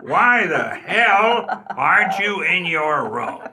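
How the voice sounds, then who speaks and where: A man acting a cantankerous, cursing hotel resident in a comic radio play, blustering and gruff. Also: high voice, very animated, very noisy, exaggerated voice. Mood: irate. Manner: gruff, blustering, drawn-out delivery.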